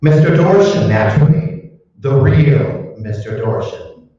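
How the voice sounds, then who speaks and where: A man playing a smug, formal villainous research director in a radio drama, speaking deliberately and with condescension. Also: medium pitch, sing-song, mellow. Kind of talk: old-time radio drama acting. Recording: echoey hall, distant dull mic.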